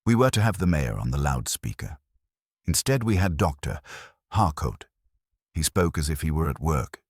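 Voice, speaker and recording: Man voicing a crisp, indignant woman councillor. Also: a bass voice, big pitch swings, normal speed, no echo, studio quality, full character voice. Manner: crisp, self-assured, formal. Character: brisk, indignant, civic-minded woman town councillor.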